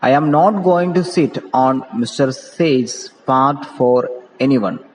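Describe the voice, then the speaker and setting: A man's voice: mid-range voice, slow pace, natural voice. A man with an Indian English accent voicing a disbelieving, indignant aunt in a play read-aloud, questioning and exclaiming. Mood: indignant, shocked. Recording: echoey reverberant room with background noise.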